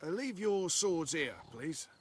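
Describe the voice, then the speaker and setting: Game casting: courteous doorman, fussy, highish voice with lilting, animated intonation. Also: slow pace, a little hiss or hum. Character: polite, fussy male gate attendant servant. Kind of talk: video game character acting.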